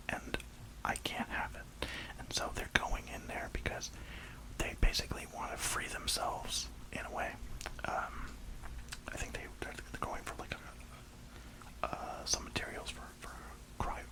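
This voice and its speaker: A reviewer whispering an enthusiastic, rambling ASMR film review in a breathy, unvoiced voice. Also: unhurried, pauses often, low-quality recording. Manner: enthusiastic, rambling, emphatic repetition.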